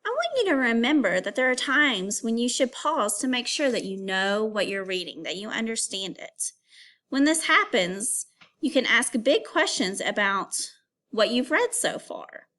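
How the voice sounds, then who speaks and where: A woman with a fairly high voice and very animated intonation gives a read-aloud lesson for children. She speaks as an elementary teacher, phrasing things clearly and instructing young listeners step by step. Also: a little slow.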